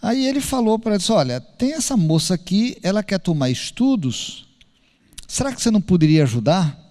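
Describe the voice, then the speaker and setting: A man preaching a sermon, telling a story in an animated way and acting out the dialogue. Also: high voice, fairly quick. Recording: long hall reverb.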